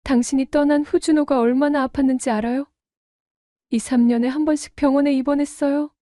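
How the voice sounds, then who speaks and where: A woman's voice: high voice, fairly even intonation, a little fast, warm tone, no room sound, clean studio sound, close to an everyday voice. An actress playing a wounded, bitter middle-aged ex-wife and mother in an audio drama, guarded and reproachful. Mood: bitter anger. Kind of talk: Korean audio drama dialogue.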